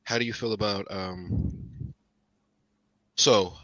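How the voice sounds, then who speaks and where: A man from Chicago with a bright, forceful voice chats casually, restarting sentences often. He gets animated and climbs in pitch when he makes a point. The sound is close and dry, with no room echo. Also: slow pace, muffled recording. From Chicago, raised in Indiana. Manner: talks in fragments with restarts. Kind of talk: casual conversation.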